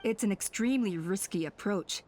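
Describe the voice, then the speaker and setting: Woman as cautious female official, medium pitch, serious, questioning, game dialogue. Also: moderate pace, a little mellow, slight background noise, lightly acted. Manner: sober, questioning. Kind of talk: video game voice acting.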